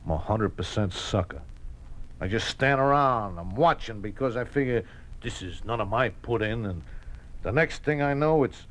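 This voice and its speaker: A man plays a good-hearted American racetrack everyman in an old radio drama. He talks in street slang dressed up with stiff, polite formality, halting now and then as he picks his words. It sounds like a vintage 1950s broadcast. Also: mid-range voice, close to an everyday voice. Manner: slangy, mock-formal, hesitant.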